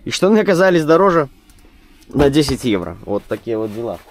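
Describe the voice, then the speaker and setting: A man who drives a truck, chatting to his viewers in a relaxed, rambling vlog style. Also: mid-range voice, brisk. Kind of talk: casual vlog chat. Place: truck cab and roadside.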